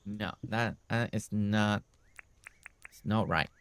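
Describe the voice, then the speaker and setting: A man's voice: lowish voice, takes it slowly, a bit bright, smooth. A man streaming a building game, chatting casually with viewers by name and a little congested. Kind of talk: casual live-stream chat while building.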